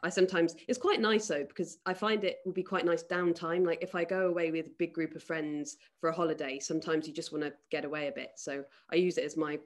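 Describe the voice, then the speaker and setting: A woman in her late twenties from Wales with a fairly low voice. She hosts a relaxed group conversation over a video call, speaking informally. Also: quick pace, few pauses. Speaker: host and charity chair. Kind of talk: casual group chat.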